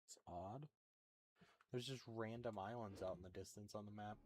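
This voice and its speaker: A man's voice: lowish voice, moderate pace, a little mellow, slight background noise. A man giving casual, rambling gameplay commentary with bemused reactions as he streams a video game.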